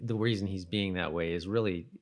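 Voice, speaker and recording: A man co-hosting a podcast, chatting informally about a TV show, haltingly and repeating himself for emphasis. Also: low-pitched. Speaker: podcast co-host. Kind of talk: casual TV-recap chat.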